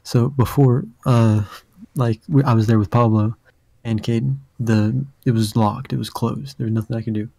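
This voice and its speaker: A young man with a deep voice telling a rambling story on a casual podcast, full of fillers. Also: steady tone, normal speed, creaky, mellow, dry recording. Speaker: podcast host.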